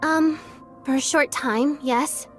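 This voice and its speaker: A woman's voice: high voice, steady tone, slow, a bit bright, faint music behind. A woman voicing a timid, clingy, childlike girl soldier in a game, meek and pleading. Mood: meek, apologetic. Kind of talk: dubbed game cutscene voice acting.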